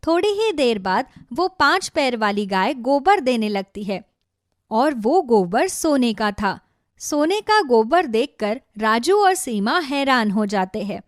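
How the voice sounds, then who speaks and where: A woman's voice: a little high, sing-song, takes it slowly, no room sound, studio quality. A woman reading scripted narration for a cartoon story.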